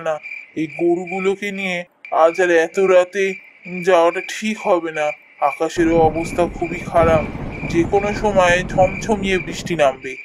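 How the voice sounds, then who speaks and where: Guy doing a young bengali cowherd in a cartoon, high voice, thinking out loud, crickets and noise behind. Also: lightly acted. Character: young village cowherd, good-natured, worried, muses aloud. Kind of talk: cartoon voice acting, thinking aloud.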